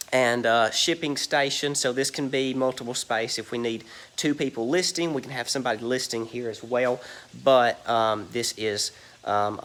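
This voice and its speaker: A man's voice: medium pitch, a bit bright. Rambling man with a stuffy nose. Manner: rambling, many ums and "you guys". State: stuffy, nasal from allergies. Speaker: reseller giving a warehouse tour. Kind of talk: casual walk-through vlog. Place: large indoor warehouse.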